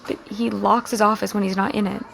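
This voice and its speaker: Creaky-voiced, grieving teenage girl. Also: mid-range voice, flat delivery, a bit bright. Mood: urgent, determined. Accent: American. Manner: halting, emotional delivery. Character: grieving, earnest teenage high school girl. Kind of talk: naturalistic audio drama dialogue.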